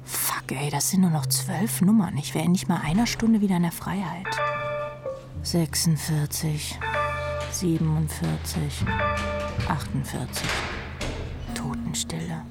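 Jaded female rock drummer, deep voice, breathy confiding murmur, wry inner monologue, radio drama, music behind. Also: a little slow, pauses often. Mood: sardonic, murmuring.

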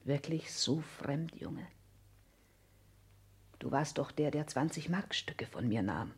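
A woman's voice: deep voice, expressive, slow pace, husky, full character voice. This is a proud woman in a 1960s radio play. She speaks with theatrical intensity and biting scorn, pressing each phrase hard. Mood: wounded, bitter. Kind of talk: 1960s German radio drama acting.

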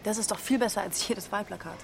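A woman's voice: medium pitch, fast, creaky, slight background noise, natural voice. An actress playing a cool, shrewd woman in her twenties in a radio drama, direct and challenging in natural conversation. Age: 20s.

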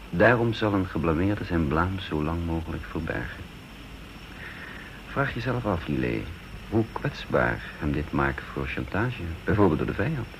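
An actor playing a guarded, earnest intelligence chief in a radio drama, musing and hesitant, on a dull, noisy old recording. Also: male voice, deep voice. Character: guarded male intelligence chief, flippant facade over gravity. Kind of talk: radio drama acting with first-person narration.

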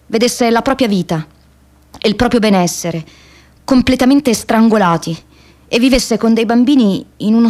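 An actress playing an earnest young American activist woman, reading her letter home as an impassioned radio theatre monologue with measured phrasing. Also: on the high side, darkish tone, lightly acted.

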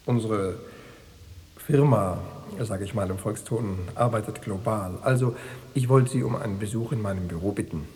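A man in a radio play acting a smooth, insinuating East German secret police officer, falsely reassuring and bureaucratic. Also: on the low side, moderate pace, a little mellow, in a large hall, close to an everyday voice. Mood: falsely soothing.

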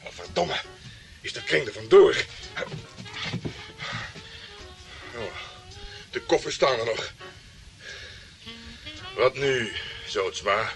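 A swaggering, womanizing private eye from a radio drama. His delivery is unhurried and swings widely in pitch. The voice is bright and forceful, full of crude bravado. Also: big pitch swings, creaky, soft background music, exaggerated voice, poor audio. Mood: rattled, baffled. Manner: swaggering, teasing, bragging. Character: cocky, crude, womanizing male private detective. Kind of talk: Dutch radio play acting.

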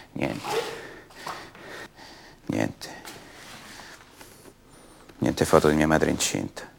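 A man's voice: low-pitched, bright, forceful tone, a little hiss or hum, heavily acted. An actor playing a troubled young colonel's son in a radio monologue, hesitant and defensive, repeating himself as he doubts who he is. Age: young adult.

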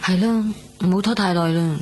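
An actress playing a stubborn but reassuring recovering patient in a radio drama, with a Hong Kong Cantonese accent. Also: female voice, lowish voice, fairly quick, darkish tone, faint music behind, lightly acted. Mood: gently insistent. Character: soft, stubborn, reassuring young woman recovering from surgery.

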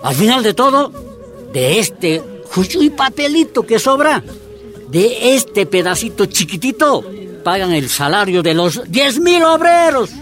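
Fervent miners' leader, high, very animated delivery, didactic and emphatic, radio drama. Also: male voice, a little slow, faint music behind, heavily acted. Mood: emphatic, indignant. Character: fervent miners' union leader explaining injustice to comrades.

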